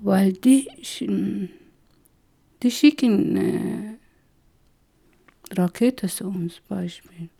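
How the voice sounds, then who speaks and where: A middle-aged woman from Afghanistan with an Afghan accent, haltingly telling her personal story with many pauses. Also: mid-range voice, creaky, warm tone, husky. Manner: halting, many hesitations, slow and searching for words. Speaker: interviewee. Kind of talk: personal testimony.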